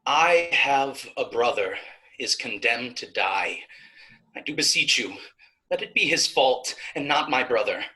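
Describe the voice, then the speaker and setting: An actress playing a pleading, righteous young maiden in a staged reading of Shakespeare, with impassioned, rhetorical verse delivery. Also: female voice, very deep voice, animated, measured pace, bright, forceful tone, heavily acted, rough recording. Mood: hesitant pleading.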